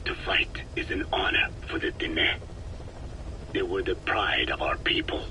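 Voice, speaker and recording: An old, solemn Navajo researcher; extremely deep, slow delivery; hissy cassette sound. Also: male voice, pushed and bright, exaggerated voice. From Navajo Nation, American Southwest. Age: older. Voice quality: low, slow, measured and solemn, often breathy. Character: very old male Navajo scientist, grave. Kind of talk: game cassette tape dialogue. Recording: noisy tape hiss.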